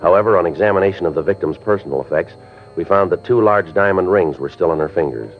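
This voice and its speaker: A businesslike police detective from a radio crime drama. He has a very deep voice and moves along quickly in clipped, deadpan sentences. The sound is dull and dated, like an old broadcast. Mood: matter-of-fact. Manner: deadpan, clipped, matter-of-fact. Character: terse, polite, businesslike police detective narrating his case. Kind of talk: old-time radio drama acting and narration. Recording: muffled vintage radio recording.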